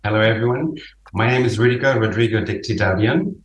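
A man's voice: lowish voice, a little hiss or hum. A man from Chile raised in Germany, a local election candidate giving an earnest prepared statement with a few restarts. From born in Chile, raised in Germany. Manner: earnest, slightly halting with restarts. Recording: roomy, distant-mic recording.

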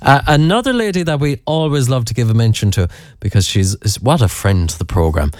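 A man's voice: medium pitch, animated, a little fast, dry recording, studio quality. An Irish man presenting a radio show in a rambling, sentimental way, chatting to listeners and reading a poem aloud. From Ireland.

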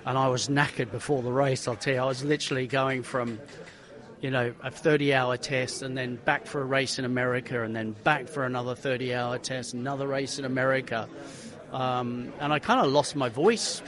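Guy telling race stories, lively, keeps restarting sentences. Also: mid-range voice, brisk. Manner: animated, repeats himself, many restarts. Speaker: racing driver guest. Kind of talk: casual interview storytelling.

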